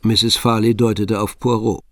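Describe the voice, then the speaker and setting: An actor as the composed narrator of a radio crime play, telling the story in a measured, literary way. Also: male voice, on the low side, little pitch movement, no room sound, studio quality, natural voice.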